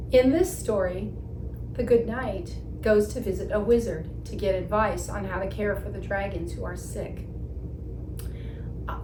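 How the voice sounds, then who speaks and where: A middle-aged woman hosts a storytime for children in a gentle, explanatory way. Her voice is a little raspy with a sore throat. She is recorded away from the mic in a roomy space, with clear noise behind her. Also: mid-range voice. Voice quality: slightly raspy. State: sick with sore throat and slight cough. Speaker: host of children's read-aloud show, storyteller. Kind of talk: children's read-aloud with chat to the audience. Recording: roomy, distant mic, noticeable background noise.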